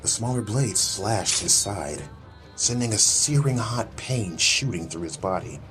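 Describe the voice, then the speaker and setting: A man's voice: lowish voice, animated, a little slow, fairly bright tone, soft background music. A man reading a scripted, dramatic story as an audiobook narrator.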